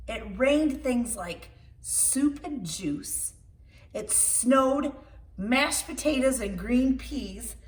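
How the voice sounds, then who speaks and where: A woman reading a picture book aloud to children in an expressive storytime style, with playful asides to her young listeners. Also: high-pitched. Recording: roomy echo, distant microphone.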